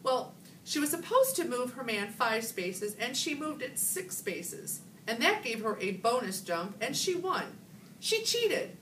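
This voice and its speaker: A woman voicing an indignant young schoolgirl who accuses her friend in a shrill, accusing way. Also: very animated, a little slow, a bit bright. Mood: tearful. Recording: roomy, distant from the mic, faint background hiss.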